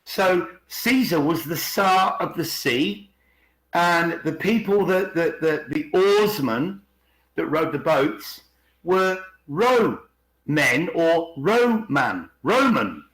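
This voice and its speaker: A man co-hosting a podcast, explaining conversationally and slowing down to stress key words. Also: fairly high pitch, lively intonation, a bit bright. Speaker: podcast co-host. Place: indoors on an online group call. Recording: roomy, echoing online call.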